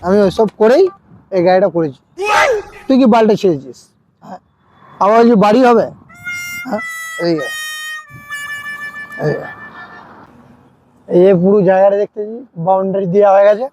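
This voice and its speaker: Man acting brash high-pitched drunkard, swearing. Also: moderate pace, pauses often, mellow, soft background music, natural voice. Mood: angry, boastful. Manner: belligerent, swearing, challenging with repeated questions. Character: brash, foul-mouthed, boastful male village drunkard. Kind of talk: comedy skit acting.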